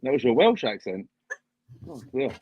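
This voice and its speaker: A man's voice: medium pitch, very animated, moderate pace, husky, dry recording. A man appearing as a guest on a live stream, chatting casually and explaining things at length with easy humor. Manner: chatty, explains at length, laughs at his own jokes. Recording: dull, dry home stream audio.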